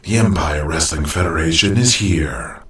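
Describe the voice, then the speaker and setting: A man's voice: animated, moderate pace, a bit bright. A man playing a grandiose, menacing, dictator-like wrestling promoter in an audio drama, making a pompous speech. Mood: grandiose menace. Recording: booming echo, far from mic.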